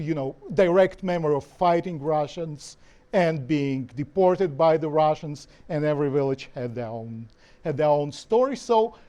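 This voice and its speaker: A man's voice: on the high side, mellow. A man from Ukraine, an author answering questions at a panel discussion, thoughtful, often restarting his phrases with "you know". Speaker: author and historian, guest speaker. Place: lecture hall.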